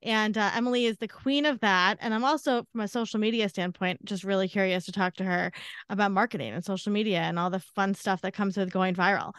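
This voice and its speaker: A woman's voice: mid-range voice, fairly quick, smooth, no room sound, studio quality. A woman co-hosting a podcast, chatting in an upbeat way and introducing a guest. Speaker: podcast co-host.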